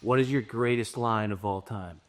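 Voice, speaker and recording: A man's voice: on the low side, moderate pace, faint music behind. A man chatting casually and jokingly as a podcast co-host.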